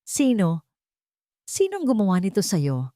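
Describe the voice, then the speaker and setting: A woman's voice: medium pitch, wildly swooping pitch, measured pace, dark tone, no echo, studio quality. Lady narrator doing a scared desperate big sister in a story, shaky breaking voice, swoops way up. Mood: alarmed, angry. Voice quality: trembling, breaking. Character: distraught young woman, protective older sister, fearful and desperate.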